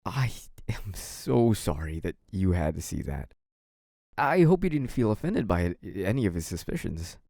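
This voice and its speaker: A man's voice: low voice, sing-song, moderate pace, creaky, mellow, dry recording, full character voice. A man playing a young mafia heir in an audio roleplay, a cunning schemer who wears politeness as a mask. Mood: nervous, polite. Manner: hesitant and apologetic act, turning smug and taunting. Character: young mafia heir, feigned naive politeness hiding cunning, possessive menace. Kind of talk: dramatic first-person audio roleplay.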